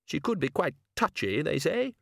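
A man voicing an urbane, commanding male city ruler in a measured, formal, deadpan manner for an audiobook. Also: fairly high pitch, gravelly, no room sound, studio quality, voice pushed up, full character voice. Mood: dryly lecturing.

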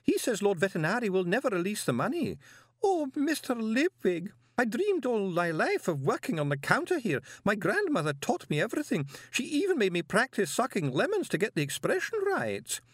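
A man's voice: high voice, huge pitch swings, moderate pace, a bit bright, slightly rough, dry recording, clean studio sound, pitched above the performer's own voice, full character voice. A man voicing a prim, melodramatic older woman in an audiobook, wailing and sobbing in tearful distress. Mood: wailing despair.

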